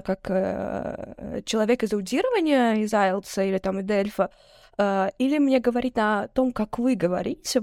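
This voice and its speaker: A woman's voice: medium pitch, no room sound, clean studio sound. A young woman from Russia, a podcast guest, telling stories in a casual chat and acting out the people she quotes. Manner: rapid, animated storytelling, acting out quoted people.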